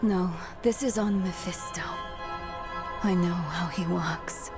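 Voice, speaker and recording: Weary, resolute young female scholar, dark fantasy game, measured and slow, music behind. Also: fairly low pitch. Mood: gentle, somber. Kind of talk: dramatic video game acting. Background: cinematic background music.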